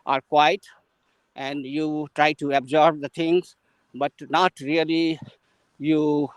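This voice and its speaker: A man's voice: on the high side, no room sound, poor audio. A man with an Indian English accent, a native Maithili speaker, casually telling a long story with many fillers and restarts while he walks. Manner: unhurried and rambling, with frequent fillers and restarts while walking. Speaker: guest mentor in an English practice session.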